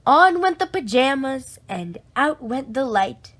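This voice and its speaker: A woman reading a children's bedtime story aloud in an expressive, drawn-out way for young listeners. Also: high voice.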